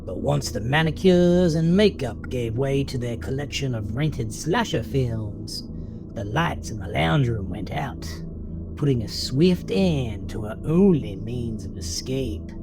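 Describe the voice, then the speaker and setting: Male narrator for horror audio drama, unhurried, animated pitch, dramatic storytelling over music. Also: gravelly.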